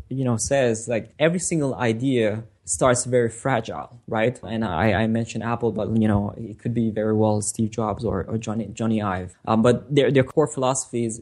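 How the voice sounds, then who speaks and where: A man whose first language is French, giving casual interview answers as a designer and guest, often pausing and repeating words as he thinks. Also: mid-range voice, darkish tone, husky.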